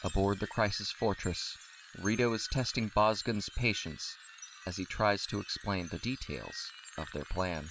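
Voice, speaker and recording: A man's voice: on the low side, a little slow, distant mic, noisy background. A man narrating a scripted audio drama as storyteller.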